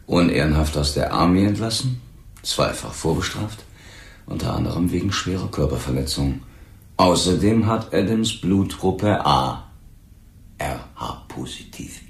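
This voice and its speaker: A man acting in a radio drama as a grave police lieutenant who questions suspects in a deliberate, insistent way. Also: low-pitched, expressive, vocal fry, roomy sound, exaggerated voice. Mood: probing, insistent.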